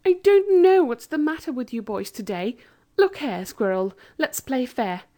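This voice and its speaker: A woman's voice: sits high, lively intonation, a little slow, warm tone, pitched above the performer's own voice. A woman voicing an earnest, fair-minded young girl who pleads with the others. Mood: pleading.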